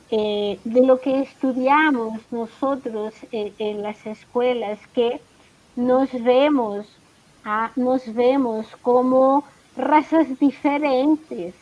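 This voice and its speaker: Chilean woman, professor guest, high voice, hesitant and thoughtful, dull lo-fi call audio. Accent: Chilean Spanish. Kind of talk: casual conversation.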